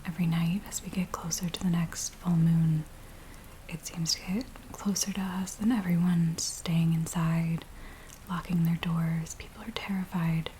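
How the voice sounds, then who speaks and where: Woman acting as a frightened village woman, breathy ASMR whisper, hushed and hesitant, low-pitched, very even intonation, rain behind. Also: natural voice, low-quality recording. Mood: uneasy. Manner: hushed, hesitant, slow storytelling. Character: frightened village woman pleading with a werewolf tracker. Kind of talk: soft-spoken whispered ASMR roleplay. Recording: steady rain-like background noise. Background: rain sounds.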